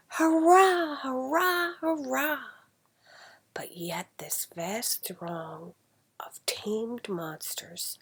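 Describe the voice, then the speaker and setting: A woman with a husky, breathy voice reading an old novel aloud in a deliberate, dramatic storytelling manner. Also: medium pitch, a little mellow. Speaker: amateur reader.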